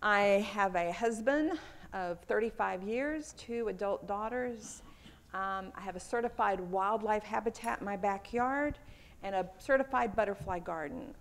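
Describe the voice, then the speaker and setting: A woman's voice: mid-range voice, normal speed. A woman in her fifties from Houston with a Texan accent, giving a lightning talk at a conference and telling personal stories in an earnest way. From Houston, Texas. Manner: earnest, personal storytelling with a few fillers. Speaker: conference speaker. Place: conference room.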